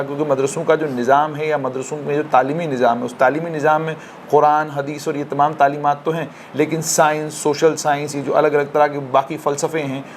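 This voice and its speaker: A man's voice: fairly high pitch, a little hiss or hum. A man working as a news interviewer, putting long, polite, formal questions in Urdu-leaning phrasing.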